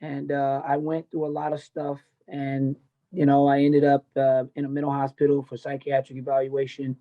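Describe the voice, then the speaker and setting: A man's voice: medium pitch, completely flat delivery, moderate pace, narrow, tinny audio. An American man in his fifties telling his life story candidly as an interview guest, in a blunt, emphatic, unfiltered way. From United States, grew up in Tennessee and California. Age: 50s. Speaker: podcast guest, MMA coach.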